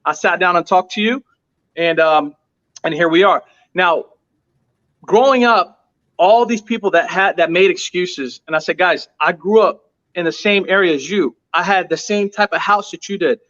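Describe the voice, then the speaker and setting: A man's voice: high voice, moderate pace, fairly bright tone, dull audio. A man who owns a restaurant, telling his story as an interview guest with emphatic, earnest repetition. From United States. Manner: emphatic, repeats points for stress.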